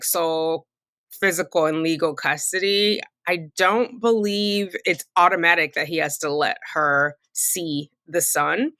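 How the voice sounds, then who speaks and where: A woman's voice: deep voice, pushed and bright, dry recording. A middle-aged woman from California giving casual, opinionated commentary in a blunt, chiding, colloquial way. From Fresno, California. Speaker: commentary channel host.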